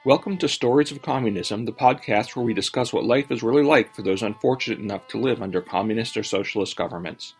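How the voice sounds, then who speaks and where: Male podcast co-host, mid-pitched voice, repeats words when asking questions. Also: fast talker, hardly any pauses, slightly rough, soft background music. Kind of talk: scripted intro, then interview questions.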